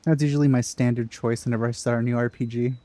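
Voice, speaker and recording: Very low, mellow-voiced woman gamer. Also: fairly even intonation, fast talker, no room sound. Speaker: gamer reacting to a playthrough. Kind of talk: casual gameplay commentary.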